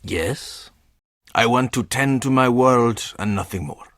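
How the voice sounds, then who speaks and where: An actor playing a menacing, world-weary man in a video game, terse and deadpan. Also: mid-range voice, no room sound, clean studio sound. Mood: cold, guarded. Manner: terse, slow, ominous, deadpan. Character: menacing, world-weary male stagehand guarding a theatrical underworld. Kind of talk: video game character dialogue.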